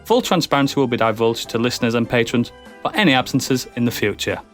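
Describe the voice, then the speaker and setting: A man's voice: mid-range voice, expressive, fast talker, a bit bright, soft background music. A man hosting a casual podcast, often switching into a mock-formal, theatrical reading voice. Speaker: podcast host.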